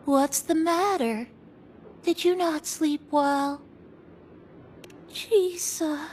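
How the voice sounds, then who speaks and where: A woman voicing a kindly, gently teasing cafe attendant in a video game, with music playing behind her. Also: high voice, very slow, a little hiss or hum. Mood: concerned. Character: playful, kindly female cafe attendant addressing a passenger. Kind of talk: video game character dialogue. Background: game background music.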